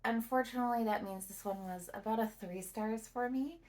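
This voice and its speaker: A woman giving a casual, reflective book review to camera, speaking thoughtfully in phrases broken by pauses. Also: on the high side, a little mellow.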